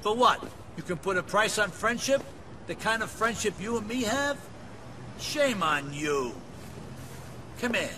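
An aging New mafia don in a video game. His voice is high and leaps around, effusive and streetwise with menace under it. Clear ambient noise sits behind him. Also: animated, unhurried, long pauses. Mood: mock offended. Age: older. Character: volatile, wheedling old mafia don. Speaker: mafia boss. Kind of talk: video game cutscene acting.

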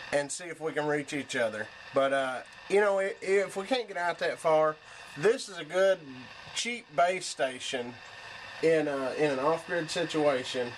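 Guy with a highish voice showing his setup, folksy n laid back, bit of noise on the recording. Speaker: hobbyist demonstrating a radio set-up. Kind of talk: casual off-the-cuff demonstration. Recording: home camera with background noise.

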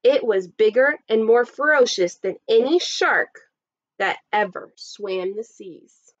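A woman's voice: fairly high pitch, animated, slow, dull audio. A woman in her thirties, a kindergarten teacher, reading a storybook expressively to young children. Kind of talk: read-aloud storybook narration for young children. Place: small indoor room set up as a classroom.

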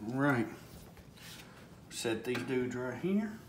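Folksy man over loud crinkling. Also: mid-range voice, lively intonation, slow pace. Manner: folksy, muttering step-by-step remarks. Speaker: home cook, video host. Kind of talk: casual cooking vlog, talking while working. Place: home kitchen, indoors. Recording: noisy room, loud foil crinkling. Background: loud crinkling foil.

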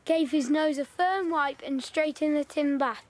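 A woman's voice: high-pitched, unhurried, a little mellow. An actress playing a chatty local village girl in wartime, earnest and gossipy as she chimes in. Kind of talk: audio drama acting.

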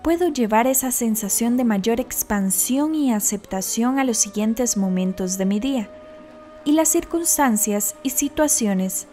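A woman narrating a scripted guided meditation in a calm, deliberate, instructional manner. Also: on the high side, soft background music.

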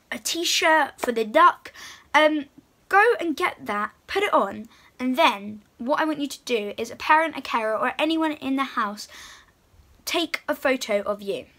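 A young girl, presenting to little children in an excited, encouraging way and reading picture books aloud. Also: high voice, a little slow. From England. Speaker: host of a children's read-aloud channel. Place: small room at home, indoors.

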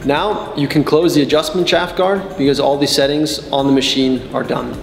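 A man's voice: on the high side, steady tone, creaky. Young man narrating in an echoey space. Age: 20s. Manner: measured, step-by-step explaining. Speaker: technical support and customer trainer. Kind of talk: scripted instructional narration. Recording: echoey, reverberant sound with background music. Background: background music.

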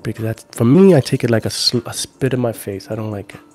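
A man in his forties talks casually to livestream viewers at a medium pitch. He is playful and laid-back, often doubling back on his phrases, and sits close to the mic. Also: animated, fast, darkish tone, soft background music. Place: small room at home. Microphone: handheld-style recorder on a stand, close up.

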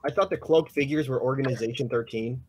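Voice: medium pitch, quick pace, a little mellow, breathy edge, rough recording. A comic dub cast member chatting casually and jokingly during a live reading.

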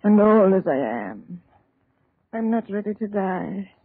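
An actress playing a dying, guilt-ridden old widow with an Irish accent, halting and mournful, in an old radio drama. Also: female voice, mid-range voice, sing-song, breathy edge, full character voice. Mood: anguished, weak. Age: older. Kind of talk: 1930s radio drama acting. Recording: muffled, narrow old radio recording.

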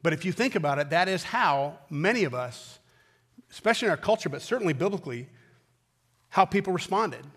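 An American man in his fifties preaching a sermon as a pastor, earnest and emphatic, with deliberate pauses and repetition. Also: on the high side, expressive, a bit bright, breathy edge, long reverb tail. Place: large indoor church auditorium stage at a wooden pulpit.

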